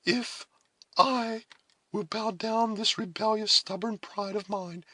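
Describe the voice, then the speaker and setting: A man voicing a noble young woman, a solemn elder sister in a storybook, speaking with deliberate, heavy emotion. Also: fairly even intonation, husky, no echo, exaggerated voice. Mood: tender anguish. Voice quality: high, forceful.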